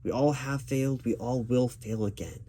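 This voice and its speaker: A man's voice: mid-range voice, normal speed, mellow. A man playing a recruiting manager in an ASMR interview roleplay, speaking aloud in a reassuring, patient and encouraging way. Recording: background noise with keyboard typing.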